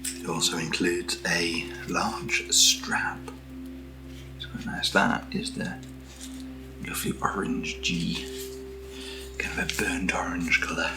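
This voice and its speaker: A British man speaking softly in an ASMR unboxing style, hushed and often slipping into a whisper, as the host of the video. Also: low voice, level tone, slow pace, pauses often, music in the background, low-quality recording. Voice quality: soft, often dropping to a whisper. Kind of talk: soft-spoken ASMR unboxing.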